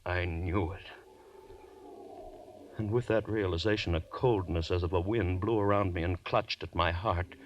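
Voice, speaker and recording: An actor playing a haunted, guilt-ridden man unravelling into terror, with intense, halting, melodramatic delivery in a 1940s radio horror play. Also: low voice, fairly even intonation, dull audio, a little hiss or hum. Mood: brooding fear. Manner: intense, halting, melodramatic, from hushed dread to shouting. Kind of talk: 1940s radio horror acting, first-person narration. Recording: vintage 1940s radio recording.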